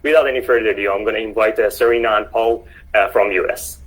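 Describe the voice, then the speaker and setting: A man's voice: fairly low pitch, fairly even intonation, fast talker, crowd noise. A man hosting a casual online interview, speaking hesitantly with many fillers and restarts. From Canada. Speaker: host.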